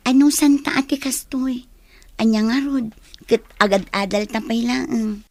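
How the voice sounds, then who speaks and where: A wistful young woman student speaks hesitantly in a high voice. She takes her time over each line, in a very dry recording. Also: fairly even intonation, unhurried, darkish tone, no room sound. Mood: hesitant, troubled. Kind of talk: Ilocano radio drama acting.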